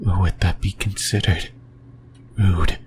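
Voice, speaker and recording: A man voicing a creepy, possessive, lonely sleep paralysis demon in a whispered ASMR roleplay, breathy and halting, close to the mic. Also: low voice, steady tone, darkish tone, a little hiss or hum. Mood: uneasy musing. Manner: slow, halting, rambling, intimate musing. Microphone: close microphone.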